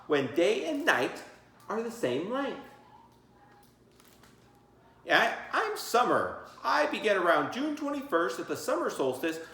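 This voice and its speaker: A man's voice: a little high, a bit bright. A man reading a children's book aloud as a teacher, theatrical and encouraging, breaking off for chatty asides and questions. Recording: echoey room.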